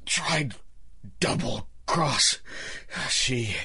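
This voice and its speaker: Gasping, breathy dying scoundrel. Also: male voice, medium pitch, lively intonation, very slow, sharp tone, exaggerated voice. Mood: pained, regretful. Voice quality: breathy, strained. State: mortally wounded, gasping, coughing, weak. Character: dying male scoundrel, regretful, old friend of the hero. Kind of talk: radio drama acting.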